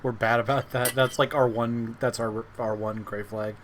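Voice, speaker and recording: A man with a medium-pitched voice runs a tabletop game on a live stream. He hosts and recaps casually as game master, with noticeable noise behind him. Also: level tone, moderate pace.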